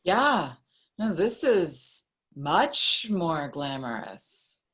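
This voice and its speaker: A woman's voice: low voice, very animated, very slow, fairly bright tone, heavily acted. An actress playing a sarcastic, image-conscious young woman in a screenplay table read.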